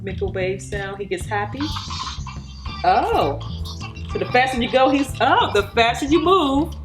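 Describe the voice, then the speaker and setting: Slow-paced woman hosting a toy review. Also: a little high, expressive, rough recording. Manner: simple explanations addressed to a child and viewers. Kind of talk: casual unboxing and toy demonstration. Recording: background music behind the voice. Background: music.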